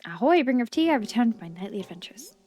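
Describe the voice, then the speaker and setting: A woman's voice: on the high side, sing-song, very fast, a little mellow. A woman voicing a cheerful, scatterbrained male knight from a video game, bold and earnest. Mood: eager, boastful. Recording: game music behind the voice.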